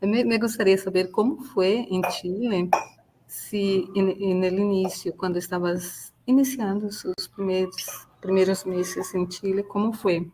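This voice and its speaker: A woman's voice: medium pitch, darkish tone, slight background noise, poor audio. A woman from Brazil, a Portuguese speaker talking in Spanish, moderating a webinar with friendly, rambling questions and frequent tag questions. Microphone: video call.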